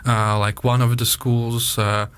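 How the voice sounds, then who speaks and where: A man's voice: mid-range voice, flat delivery, measured pace, fairly bright tone, clean tone. A young man from Estonia whose first language is Russian, chatting casually as a podcast guest and leaning loosely on filler words. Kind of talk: casual conversation.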